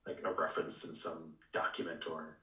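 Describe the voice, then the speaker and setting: A man with a low, bright-edged voice joins a casual podcast chat. He comes across as laid-back and informal. He sounds set back from the microphone in an echoey space. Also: completely flat delivery, moderate pace. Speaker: podcast co-host. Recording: echoey, distant from the mic.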